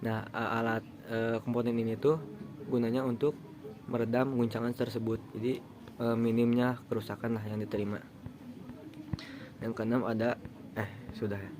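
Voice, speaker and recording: Monotone young man presenting over noise. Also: mid-range voice. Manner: halting, explanatory, colloquial asides. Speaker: student presenter. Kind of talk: informal slide presentation. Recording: clearly noisy room recording.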